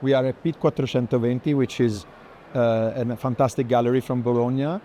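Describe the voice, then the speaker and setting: A man's voice: medium pitch, steady tone, fairly quick, dark tone. A man hosting a walking art conversation, explaining things in a discursive way. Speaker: curator hosting a walking tour. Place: busy art fair. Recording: clear urban ambient noise.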